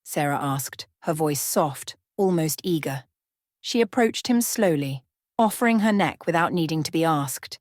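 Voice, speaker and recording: Woman, lower-pitched voice, dry close sound, scripted story narration, ASMR bedtime storyteller. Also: animated, a little slow, a little mellow, no room sound.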